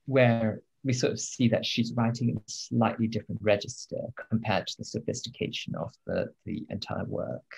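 A man, the book's translator, speaking in a measured, analytical way about the novel. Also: on the low side, fairly even intonation, vocal fry, darkish tone, slightly rough, rough recording. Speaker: translator guest. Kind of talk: thoughtful discussion.

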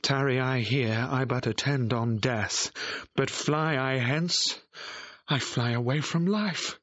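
An actor playing a passionate, lovesick young gentleman in a Shakespearean audio drama, declaiming in a plaintive, despairing way. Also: medium pitch, slow pace, no echo, muffled recording. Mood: anguished.

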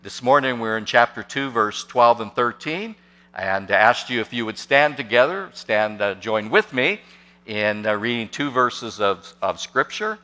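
A man's voice: medium pitch, expressive, measured pace, pushed and bright. A man in his sixties preaching a sermon from a pulpit microphone in a large church, hesitant and full of fillers, asking the congregation questions. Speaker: pastor. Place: church sanctuary, large and indoors. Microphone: podium microphone on a gooseneck. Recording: long church reverb.

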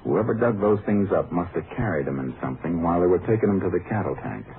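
An actor playing a shrewd, matter-of-fact Texas Ranger detective in a clipped 1950s radio drama style. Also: male voice, sits low, animated, fast talker, background noise. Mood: cool, probing. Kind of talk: 1950s radio drama acting, narration and dialogue. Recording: muffled 1950s radio recording, music.

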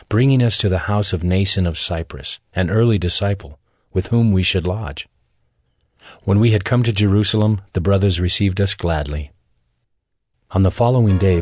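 A man narrating a dramatized audio Bible as measured, scripted storytelling. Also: sits low, darkish tone, slightly rough, no room sound, studio quality.

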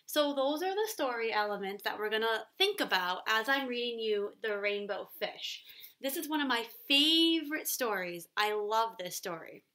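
A woman's voice: fairly high pitch, animated, pushed and bright. A woman in her twenties, a schoolteacher explaining and reading aloud to young children with teacherly emphasis. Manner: clear teacherly emphasis, pauses for questions. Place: small room at home, kitchen.

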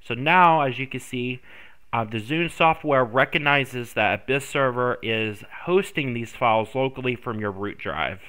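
A man's voice: medium pitch, unhurried, sharp tone, smooth. Congested man talking through a tech tutorial. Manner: rambling, many ums and self-corrections. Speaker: tutorial host. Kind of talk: screen-recorded tech tutorial.

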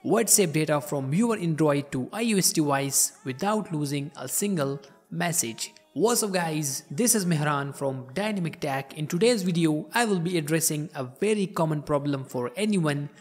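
Husky-voiced man narrating a tech tutorial. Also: on the high side, expressive, normal speed, hardly any pauses, long reverb tail, faint music behind. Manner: upbeat, promotional, talks straight to viewers. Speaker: tech channel host. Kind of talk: scripted tutorial voice-over.

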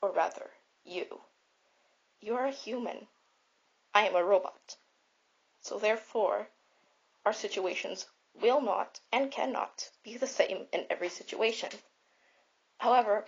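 Husky, earnest robot mentor played by a woman. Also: medium pitch, lightly acted. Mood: comforting. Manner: halting, repetitive, earnest, encouraging. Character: gentle robot mentor comforting young robots. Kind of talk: comfort roleplay monologue. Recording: dull lo-fi home recording.

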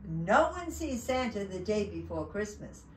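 A woman's voice: medium pitch, big pitch swings, a little slow, reverberant, recorded from a distance, slight background noise, rough recording. A woman voicing a bossy, watchful Christmas elf from a storybook, scolding emphatically. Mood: officious. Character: bossy, vigilant Christmas elf turning intruders away.

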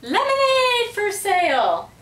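Storybook pet parrot, played by a woman: squawky, chattering, boastful, pitched very high, roomy sound. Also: huge pitch swings, takes it slowly, a bit bright, exaggerated voice. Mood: squawking sales cry. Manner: squawking, repeats phrases. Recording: roomy, distant microphone.

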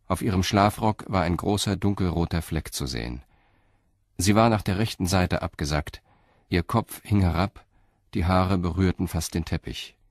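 A man's voice: deep voice, no room sound, studio quality. A man reading scripted narration for an audio drama.

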